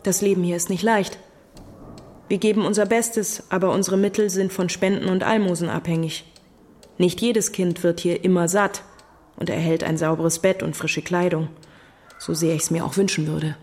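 A woman's voice: fairly low pitch, fairly even intonation, normal speed, a little mellow, close to an everyday voice. A woman playing a stern but kindly middle-aged orphanage matron in an audio drama, grave and formal. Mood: grave, sorrowful. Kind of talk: German audio drama acting.

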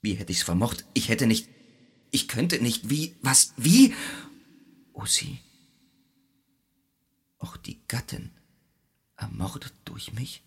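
An actor playing an anguished Greek hero in a theatrical radio drama, husky and gravelly, halting and declamatory between whispers and cries. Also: male voice, mid-range voice, expressive, exaggerated voice. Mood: horrified.

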